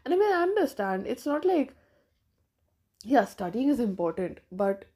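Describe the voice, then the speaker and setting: A woman's voice: on the high side, big pitch swings, moderate pace, mellow, husky. A nineteen-year-old woman from India hosting her own podcast, thinking aloud in a rambling, casual way with lots of fillers and restarts. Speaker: podcast host. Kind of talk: casual solo podcast monologue.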